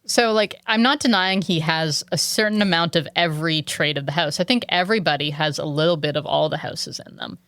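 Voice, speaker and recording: A woman's voice: deep voice, a little fast, hardly any pauses, a bit bright, dry recording, clean studio sound. A woman co-hosting a casual book podcast, chatty and analytical, with many "like"s. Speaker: podcast co-host. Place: home room with a cat.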